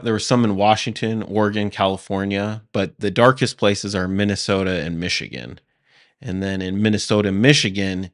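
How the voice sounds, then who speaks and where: Deep-voiced guy hosting a podcast, steady and matter-of-fact, dry close sound. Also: dry recording. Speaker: podcast host presenting history. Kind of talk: casual explanatory talk over maps.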